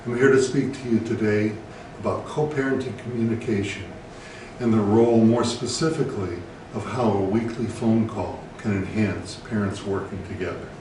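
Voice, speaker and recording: A man with an American accent, a therapist presenting scripted educational advice in a measured, deliberate way. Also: lowish voice, steady tone. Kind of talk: scripted educational talk to camera. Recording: very echoey room, distant mic, faint noise.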